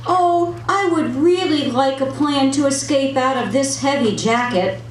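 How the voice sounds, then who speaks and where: Woman as eager puppet firefighter lady for kids' safety show; high voice, recorded at a distance in a roomy space. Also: unhurried, slight background noise. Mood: mildly complaining. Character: female puppet firefighter, cheerful, eager, a bit whiny. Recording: roomy live sound, distant mic.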